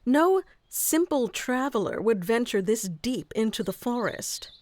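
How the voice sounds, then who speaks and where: A woman's voice: on the high side, sing-song, unhurried, a little mellow. A woman playing a haughty, easily flustered young female elf guarding her forest in a scripted fantasy roleplay, with prickly, old-fashioned wording. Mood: stern, suspicious. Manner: prickly tsundere, archaic wording, stammers when flustered.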